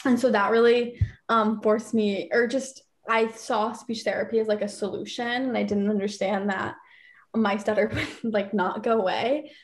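A woman's voice: mid-range voice, fairly even intonation, moderate pace. A young woman on a video call from her bedroom, speaking with many fillers and occasional stutters. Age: young adult. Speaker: teen advisory council member. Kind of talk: casual panel discussion on video call. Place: bedroom at home, indoors. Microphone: laptop webcam on video call.

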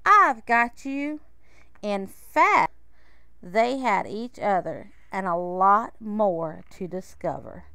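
Unhurried, clear-voiced woman reading a storybook. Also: mid-range voice, no room sound. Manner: measured, expressive storytelling with pauses. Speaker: storybook reader. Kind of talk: children's picture-book read-aloud.

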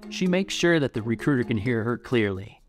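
A man's voice: mid-range voice, lively intonation, brisk, in a large hall. A man in his thirties with an American accent, presenting a scripted show as an upbeat, polished host. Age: 30s. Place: indoor office, close to camera. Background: background music.

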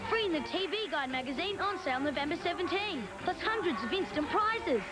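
A woman's voice: extremely high pitch, animated, fairly quick, over loud music. A woman doing an upbeat, peppy voice-over for an old TV commercial. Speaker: ad voice-over announcer.

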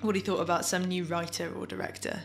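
An actress playing a wistful, reflective daughter in an audio drama monologue, telling her memories haltingly. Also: fairly low pitch, little pitch movement, music in the background, lightly acted. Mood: fond, nostalgic. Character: wistful daughter recalling her adored theatre-critic father.

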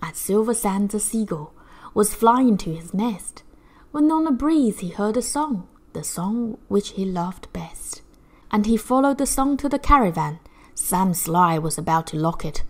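A woman narrating a rhyming children's picture book in a measured, rhythmic, theatrical way. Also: mid-range voice, expressive. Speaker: children's story reader.

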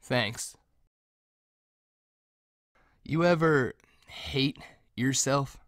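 A man's voice: medium pitch, very slow, fairly bright tone, dry recording, studio quality. A man playing a brooding, self-doubting young college student in an audio drama, subdued and hesitant.

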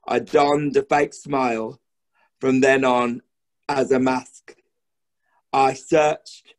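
An actor playing a weary, downtrodden working-class father, proud and bitter with grief, in a measured theatrical verse monologue. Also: mid-range voice, lightly acted. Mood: grieving. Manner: slow, measured, theatrical delivery with refrains.

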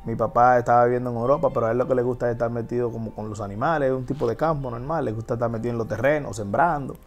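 A Dominican man in his thirties talks through his story in an easy, rambling way, often restarting his sentences. His voice sits at an everyday middle pitch, with faint music in the background of the studio. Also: very fast. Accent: Dominican Spanish. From Dominican Republic. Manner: relaxed, chatty storytelling with frequent restarts. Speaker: guest DJ and TV host. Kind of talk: casual interview chat. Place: TV studio set.

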